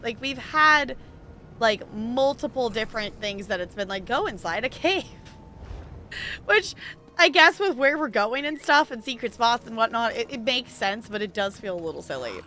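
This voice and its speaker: Bright-voiced chatty woman streamer. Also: fairly high pitch, slight background noise. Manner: chatty, rambling, laughs often. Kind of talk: casual gameplay commentary.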